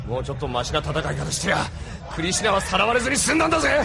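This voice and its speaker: An actor playing a brash, sneering male pilot in an anime audio drama, rough-mouthed and mocking. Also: high voice, huge pitch swings, rapid-fire, exaggerated voice. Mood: aggressive. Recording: noisy sound-effect backdrop.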